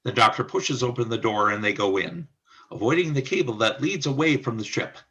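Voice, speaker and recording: A man's voice: medium pitch, a little fast, fairly bright tone, dull audio. A man reading scripted stage-direction narration for a radio play.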